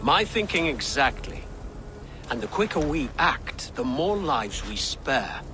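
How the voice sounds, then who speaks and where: An actor playing a cautious, earnest Saxon nobleman in a game, speaking in a measured, diplomatic way. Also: medium pitch, sing-song. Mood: insistent, earnest. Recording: clearly noisy background.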